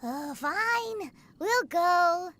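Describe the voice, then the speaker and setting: A woman voicing a tiny, excitable, blunt and fretful fairy companion in a video game, squeaky and inquisitive. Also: drawn-out words, a little hiss or hum, heavily acted. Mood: curious, grumbling. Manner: inquisitive, exclamatory. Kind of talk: video game character acting. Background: game music.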